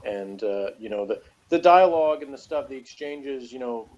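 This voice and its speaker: A man's voice: medium pitch, animated, normal speed, darkish tone, highs cut off. A man giving a casual, conversational TV review, explaining and arguing his points at length. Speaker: podcast reviewer.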